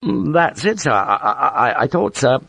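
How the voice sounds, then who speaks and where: Man voicing a nervous, stammering young lieutenant, higher-pitched. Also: expressive, brisk, no room sound. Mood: anxious, hesitant. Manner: deferential, hesitant, apologetic. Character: nervous, timid young lieutenant, barely out of school.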